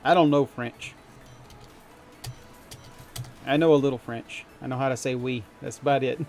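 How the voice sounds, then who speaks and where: A man's voice: medium pitch, fairly even intonation, fairly quick, darkish tone, faint music behind. A man from the United States chatting with his viewers while gaming, rambling and chuckling and poking fun at himself. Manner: rambling, chuckling, self-deprecating. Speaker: streamer.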